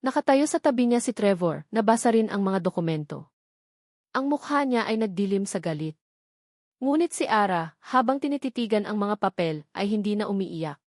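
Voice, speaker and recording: A woman's voice: medium pitch, animated, moderate pace, a little mellow, dry recording, clean studio sound. A woman reading scripted dramatic narration of a romantic story.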